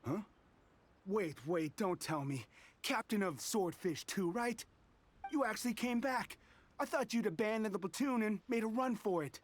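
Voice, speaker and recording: A man voicing a bitter, proud and combative rebel soldier in a game, with a gruff, challenging manner. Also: high voice, animated, normal speed. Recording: game audio with faint music.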